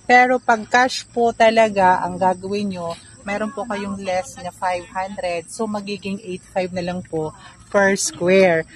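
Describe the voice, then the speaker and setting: A woman selling land in a casual, upbeat and persuasive pitch, walking outdoors on a rural dirt road. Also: steady tone, a little slow, poor audio. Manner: chatty, upbeat, polite, persuasive. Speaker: real estate seller.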